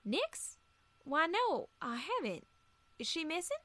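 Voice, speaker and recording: Woman playing country cartoon mare, Southern US accent, high bright voice, folksy, reassuring, easygoing. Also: big pitch swings, takes it slowly, no echo, exaggerated voice. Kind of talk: cartoon character acting in audio story.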